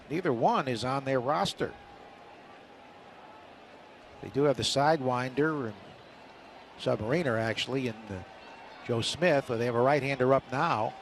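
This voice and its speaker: A man giving live play-by-play baseball commentary as a radio announcer. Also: medium pitch. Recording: faint stadium noise on radio broadcast. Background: outdoor ballpark ambience.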